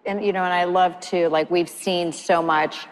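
A woman in her forties leads a stage panel with lively, gesturing chatter. Her voice sits a bit low and rings out into a large hall, with a faint hum behind. She speaks like a practiced anchor. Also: moderate pace. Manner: animated, gesturing, chatty with fillers. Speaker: panel moderator, news anchor. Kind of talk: conversational panel talk. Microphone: lapel mic. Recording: long hall reverb, faint room noise.

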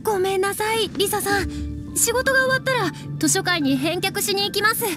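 A woman's voice: extremely high pitch, brisk, music in the background. A woman voicing a polite, earnest young shopkeeper in a fantasy video game, with courteous customer-service speech. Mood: apologetic. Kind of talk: Japanese video game voice acting.

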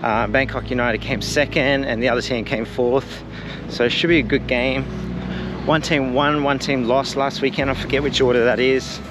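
A man's voice: medium pitch, fairly even intonation, normal speed. A man in his thirties, a travel vlogger, talking casually and upbeat to a camera held at arm's length outdoors at a stadium. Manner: chatty, upbeat, rambling asides. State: hot and sweaty. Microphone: action camera held at arm's length. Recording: loud outdoor crowd and traffic noise. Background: crowd and street noise.

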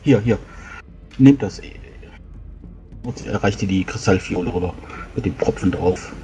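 A man's voice: deep voice, measured pace, music in the background. A man role-playing casually in a tabletop game, chatty, repeating words as he switches between character speech and brief narration.

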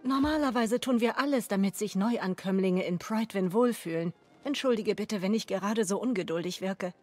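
An actress playing a worried, dutiful regent in a fantasy video game, speaking formally and earnestly. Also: female voice, mid-range voice, moderate pace, a little mellow, faint music behind, natural voice. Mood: grave, urgent.

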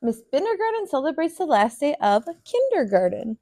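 An American woman in her thirties, a teacher reading a picture book aloud to children in an expressive, sing-song way. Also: high-pitched, normal speed. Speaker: kindergarten teacher, storyteller. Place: small furnished room, indoors.

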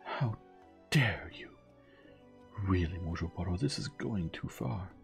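A man's voice: deep voice, expressive, measured pace, vocal fry, faint music behind, pitched above the performer's own voice, exaggerated voice. A man doing a proud, rattled rich young woman. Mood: defensive.

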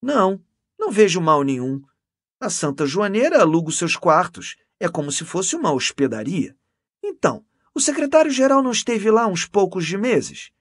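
A reader acting a pompous, excitable smug priest, swooping pitch. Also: moderate pace, no echo, studio quality. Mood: indignant, dismissive. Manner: exclamatory, emphatic, repeating himself, unctuous. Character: pompous, self-satisfied portly male priest, gossipy, smug, gluttonous.

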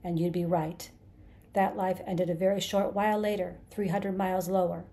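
A battered, hateful creature played by a woman narrator from a storybook, snarling and hissing out his grudge. He is bitterly sarcastic and menacing, with a mellow darkness in the tone. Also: on the low side, flat delivery, normal speed, lightly acted. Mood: bitter sarcasm. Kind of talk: dramatized audiobook character.

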